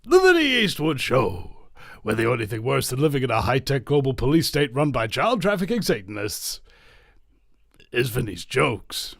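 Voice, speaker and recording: A man's voice: medium pitch, huge pitch swings, normal speed, vocal fry, a bit bright, no echo. Solo talk host: man from New Zealand, mid-range voice, rambling jokey patter, dry studio sound. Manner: rambling, jokey, many fillers. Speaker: radio host. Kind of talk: solo talk radio monologue.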